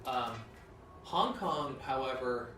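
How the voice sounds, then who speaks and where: A man's voice: medium pitch, animated, slow pace. A man speaking as a company executive on a panel, casual, with lots of fillers and restarts. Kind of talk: panel talk. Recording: echoey room, distant mic, background noise.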